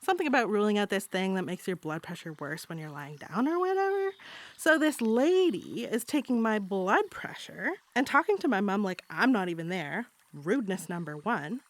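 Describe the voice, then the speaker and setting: A woman's voice: mid-range voice, very animated, normal speed, natural voice. A woman playing an indignant, chatty eleven-year-old girl who is easily delighted, in a theatrical monologue.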